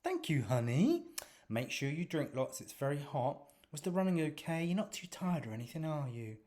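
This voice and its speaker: Man playing a sharp-tongued woman leader, exasperated, swearing, raised pitch. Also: very animated, moderate pace. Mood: motherly.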